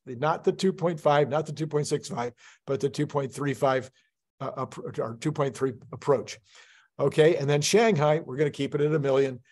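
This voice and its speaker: Higher-pitched man explaining figures casually. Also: darkish tone. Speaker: host of a finance channel. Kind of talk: casual explainer walking through spreadsheet projections.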